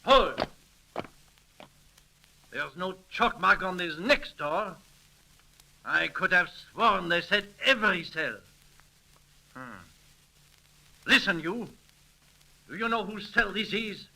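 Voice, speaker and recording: A brusque soldier of the French Revolution, suspicious and commanding. The voice is gravelly, very forceful and slow, played in old 1930s radio fashion. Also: male voice, high voice, expressive, exaggerated voice, poor audio. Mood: puzzled.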